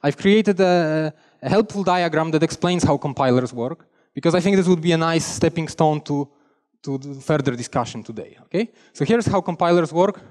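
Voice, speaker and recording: A man's voice: on the high side, moderate pace, a little mellow. Polish-accented man speaking in a reverberant hall. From Poland. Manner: chatty, self-deprecating, with frequent restarts. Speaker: conference speaker. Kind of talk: technical conference talk. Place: large conference hall stage. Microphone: headset mic.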